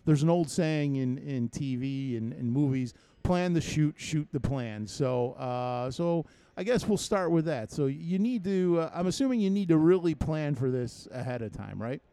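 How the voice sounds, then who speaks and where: A man's voice: a little high, normal speed, few pauses, dark tone, clean tone. A man hosting a casual podcast, teasing his co-host and asking many questions. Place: convention hotel room.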